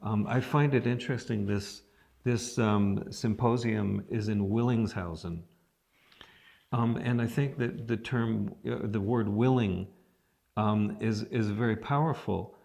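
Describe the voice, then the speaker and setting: A man interviewing an artist, thoughtful and encouraging, with many pauses and ums. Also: lowish voice, steady tone, gravelly, darkish tone. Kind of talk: interview, conversational.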